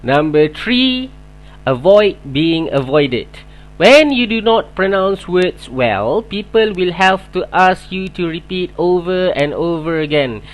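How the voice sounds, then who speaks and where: A man's voice: sits high, expressive, takes it slowly, poor audio. A man with a Malaysian accent, a Malay speaker and English teacher, reading a prepared lesson in an earnest way. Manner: earnest, reads prepared points in order. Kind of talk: scripted video lesson.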